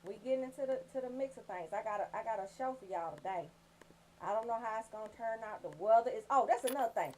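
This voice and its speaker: A woman hosting a radio show, giving casual, comedic shout-outs in a sassy, joking, profane way. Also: fairly high pitch, animated, fast talker, slight background noise, rough recording.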